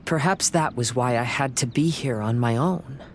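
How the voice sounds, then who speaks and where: An actor playing a serene young wandering samurai poet in a game, speaking gently in a measured, poetic way. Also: male voice, medium pitch, slight background noise, natural voice. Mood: reflective.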